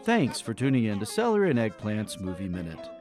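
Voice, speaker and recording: A man's voice: on the low side, wildly swooping pitch, fairly quick, darkish tone, soft background music. A man playing a radio announcer and newsreader, delivering scripted broadcast lines. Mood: announcing.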